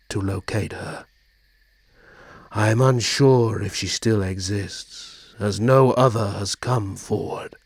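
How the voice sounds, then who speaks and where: A man playing a brooding, courteous immortal vampire prince in a dramatic audio roleplay, speaking formally and measuredly in a husky, breathy voice. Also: fairly low pitch, no echo, studio quality, heavily acted.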